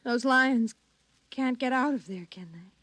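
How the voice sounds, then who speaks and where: High-voiced anxious 1950s radio housewife. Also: female voice, measured pace, fairly bright tone, no room sound. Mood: weary, troubled. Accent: American. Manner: tremulous, urgent, earnest. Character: anxious, fretful housewife and mother, frightened and pleading. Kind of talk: 1950s American radio drama acting. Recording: restored 1950s radio broadcast.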